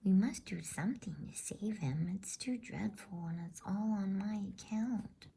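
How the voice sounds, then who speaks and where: A woman's voice: mid-range voice, unhurried, vocal fry, dark tone, slightly rough, pitched above the performer's own voice, heavily acted. A woman voicing an anxious, tender-hearted little girl, pleading and worried, in a bedtime story reading.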